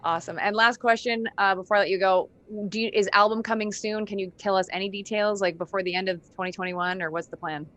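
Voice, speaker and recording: A woman's voice: medium pitch, fairly even intonation, quick pace, hardly any pauses, fairly bright tone. A woman hosting a music interview in a conversational, encouraging way. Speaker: interview show host. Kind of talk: conversational radio-style interview.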